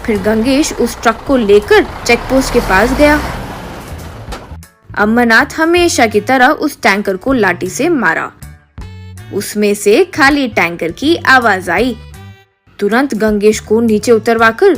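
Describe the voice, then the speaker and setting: A woman's voice: a little high, expressive, slow pace, faint music behind. A woman narrator reading a scripted comic moral story.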